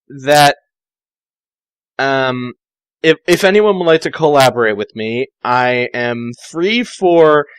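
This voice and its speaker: A man's voice: mid-range voice, a bit bright, clean tone, no room sound, rough recording. Male amateur story reader, sardonic and mocking in his asides, close dry sound. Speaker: fan fiction reader. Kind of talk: amateur read-aloud with sardonic side comments.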